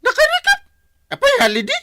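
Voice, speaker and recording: An actor playing an exasperated, henpecked middle-aged village husband in an audio drama, with an Ilocano Filipino accent and many startled exclamations. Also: male voice, very high-pitched, huge pitch swings, a little fast, bright, forceful tone, no room sound, studio quality, exaggerated voice. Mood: baffled. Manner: exclamatory, full of startled interjections.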